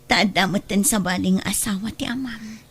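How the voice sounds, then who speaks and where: A woman's voice: medium pitch, normal speed, slightly rough. A woman with a Filipino Ilocano accent playing a battered, remorseful mother, tearful and pleading in a melodramatic radio drama. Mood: weak, whispered pleading. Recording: music bed under radio drama dialogue.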